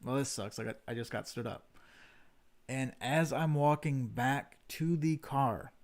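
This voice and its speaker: A man of ordinary pitch tells a long story on a casual home livestream. He rambles, corrects himself and pokes fun at himself along the way. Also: a little slow. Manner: rambling, self-deprecating storyteller. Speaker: stream co-host.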